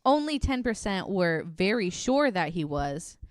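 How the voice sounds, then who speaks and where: A woman's voice: mid-range voice, sing-song, measured pace, no echo, clean studio sound. A woman hosting a true crime podcast in casual, giggly, informal talk. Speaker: podcast host.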